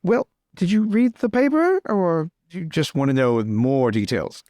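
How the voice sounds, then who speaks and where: A man's voice: fairly high pitch, expressive, unhurried, darkish tone, no echo, studio quality, voice pushed up. A man playing a breezy, teasing young lord in a tabletop game, with playful bursts of repeated words. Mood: breezy, chatty.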